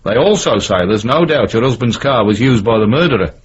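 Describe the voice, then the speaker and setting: An actor playing a dogged, sardonic police detective with a British accent in a 1970s radio drama. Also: male voice, mid-range voice, lively intonation, brisk, breathy edge. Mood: coolly polite. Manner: dry, sardonic. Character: dogged, sardonic male police detective, weary but persistent. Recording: dull noisy old radio recording.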